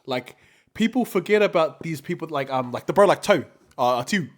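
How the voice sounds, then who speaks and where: A man's voice: on the high side, lively intonation, normal speed. A man with a New Zealand accent co-hosting a casual podcast, talking in a slangy, laid-back way and often repeating himself. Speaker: podcast co-host.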